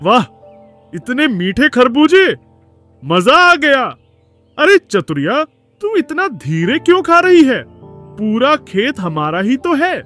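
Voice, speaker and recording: Foolish male cartoon donkey, very high and bright, slow and boastful, excitable. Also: soft background music, heavily acted. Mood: gleeful. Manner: boastful, excitable, sings loudly off-key. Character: cheerful foolish male donkey who loves singing. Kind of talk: cartoon voice acting.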